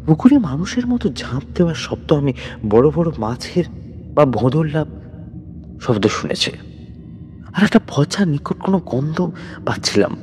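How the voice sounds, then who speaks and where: A man's voice: fairly high pitch, very animated, normal speed, long pauses, a little mellow. A man voicing a frightened, respectful male traveller stranded at night in a ghost story. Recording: long reverb, noisy background.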